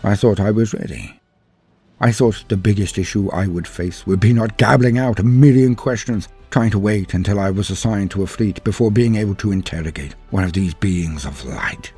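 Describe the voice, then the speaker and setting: Male narrator for dramatic fiction: very low, rough-edged voice, grave and theatrical delivery over faint music. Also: normal speed, mellow. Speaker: storyteller narrating fan fiction. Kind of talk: dramatic scripted first-person narration.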